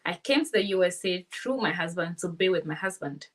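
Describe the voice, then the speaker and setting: Confident Ghanaian woman, low voice. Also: animated, fairly quick, fairly bright tone. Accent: West African, Ghanaian. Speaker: nurse and guest. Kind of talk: interview, explaining.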